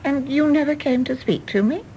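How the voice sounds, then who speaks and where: A woman playing a composed, wistful and candid English widow in a radio drama, with an English accent and a measured, genteel manner. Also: high voice, warm tone, a little hiss or hum, lightly acted. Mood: candid, curious. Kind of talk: British stage-play radio drama acting.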